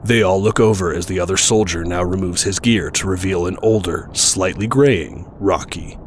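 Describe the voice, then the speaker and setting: A man's voice: low voice, normal speed, slight background noise. A man reading scripted narration as the narrator of an audio drama.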